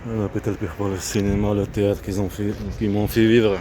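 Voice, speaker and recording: Rambling man over loud outdoor traffic noise. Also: lowish voice, level tone, normal speed. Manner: repetitive, fragmented, drifting train of thought. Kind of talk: rambling spontaneous monologue. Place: outdoors near road with vehicles.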